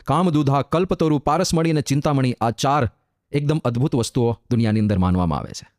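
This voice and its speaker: A man giving a devotional sermon as a monk, chanting verses slowly and explaining them with emphatic, rising repetition. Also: mid-range voice, darkish tone, dry recording, clean studio sound.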